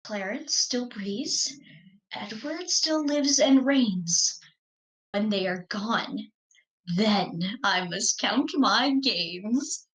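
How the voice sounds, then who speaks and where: A young woman playing a scheming, ambitious male villain in a Shakespearean radio play, confiding in a deliberate soliloquy. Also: medium pitch, expressive, fairly bright tone. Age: young adult. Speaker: acting student. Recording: slightly dull recording.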